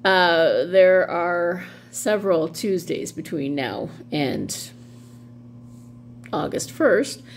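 A woman's voice: fairly low pitch, animated, extremely slow. Middle aged woman rambling on a vlog, kinda wry, takes her time, noticeable hum behind her. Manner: wry, amused, meandering with asides. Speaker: vlog host doing dramatic readings. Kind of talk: casual rambling commentary. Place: small room at home, indoors. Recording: clearly audible background hum noise.

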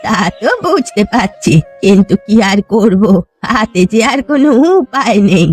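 A woman's voice: mid-range voice, lively intonation, moderate pace, slightly rough, soft background music. A woman voicing a poor, sorrowful old fisherwoman in a cartoon folk tale, plaintive and resigned.

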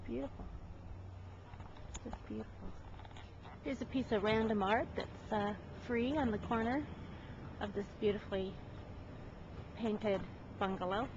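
A woman narrates a walking tour of houses in a chatty, admiring way, pausing often with ums and uhs. Her voice sits at an ordinary middle pitch. The recording is dull and lo-fi, and steady background noise runs under it. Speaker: real estate agent giving a home tour.

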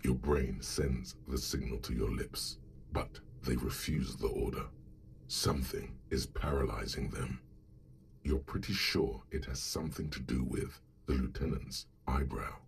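An actor voicing a grave inner voice that narrates a detective's thoughts in a role-playing game, measured and literary. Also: male voice, a bass voice, creaky, full character voice. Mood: ominous, wry. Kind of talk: scripted second-person narration.

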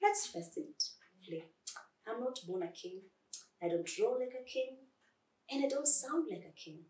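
A woman's voice: mid-range voice, sing-song, normal speed, a bit bright, roomy sound, recorded from a distance, rough recording. A woman voicing a nervous, hesitant male lion king in a storybook tale. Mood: ashamed, sad.

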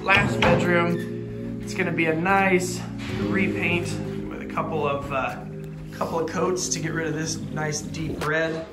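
A man's voice: on the high side, level tone, unhurried. A man in his thirties with an American accent, giving an informal, explanatory renovation walkthrough as a contractor and vlog host. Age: 30s. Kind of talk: casual walkthrough vlog talk. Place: handheld walkthrough in house, garage and covered patio. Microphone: phone or camera at arm's length. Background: music.

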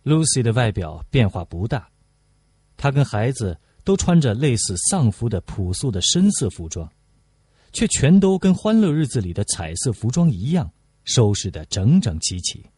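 A man's voice: medium pitch, very animated, moderate pace, dark tone, slightly rough, no room sound. A man reading a novel aloud as a scripted audiobook narration.

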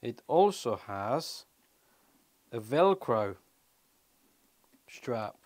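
A man's voice: mid-range voice, sing-song, extremely slow, a little mellow. A man in his thirties giving a casual hands-on product walkthrough, explaining step by step in short phrases as a vlogger. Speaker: motorcycle vlogger. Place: small wooden shed or garage room.

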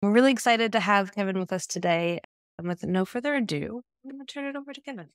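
A woman's voice: medium pitch, fast, dry recording, clean studio sound. A woman in her thirties hosting an online event, giving a semi-scripted introduction. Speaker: host introducing a speaker. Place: indoors, video call.

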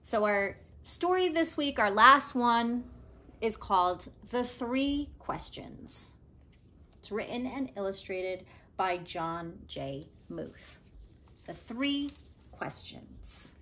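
A high-voiced woman in her forties reads a picture book aloud to children. Her delivery is slow and very animated, with expressive phrasing that shapes each line. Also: a little hiss or hum. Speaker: teacher reading stories to pupils. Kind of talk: read-aloud children's storytelling. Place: room at home, indoors.

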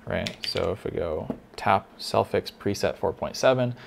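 A man teaching a lighting console in a casual tutorial, thinking aloud with fillers, a little hoarse. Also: lowish voice, unhurried, noisy background. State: slightly hoarse, losing his voice. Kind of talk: casual screen-recorded tutorial.

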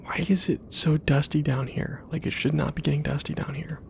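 A man giving ASMR gameplay commentary in a soft half-whisper, musing casually to himself in a hushed way. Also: a little high, fairly even intonation, brisk, creaky, a little hiss or hum. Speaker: video game streamer.

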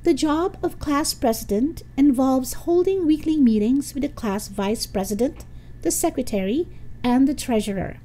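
A woman's voice: high voice, expressive, moderate pace, mellow, pitched above the performer's own voice. A woman voicing a prim, snobbish schoolgirl with haughty, precise diction in a storybook reading. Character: prim snobbish girl, class presidential candidate.

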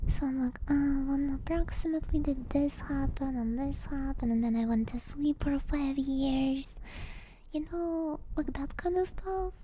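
A woman chatting softly and casually to livestream viewers in a breathy, mostly whispered ASMR style, close to the microphone. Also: sits high, poor audio. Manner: soft-spoken, relaxed, rambling. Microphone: close binaural ASMR microphone.

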